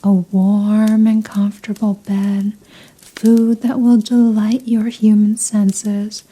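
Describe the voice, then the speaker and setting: A woman playing a possessive, seductive female vampire in an ASMR roleplay, speaking in a teasing, formal, softly commanding manner with breathy murmurs. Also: medium pitch, little pitch movement, takes it slowly. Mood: coaxing, menacing. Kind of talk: ASMR roleplay, scripted intimate monologue.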